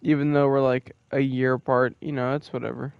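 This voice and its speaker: A man's voice: medium pitch, flat delivery, a little fast, gravelly, clean tone, no room sound. A twenty-year-old man streaming a game, talking casually and cursing often. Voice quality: profane, laid-back. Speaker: gaming streamer. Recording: dull, dry, lo-fi mic sound.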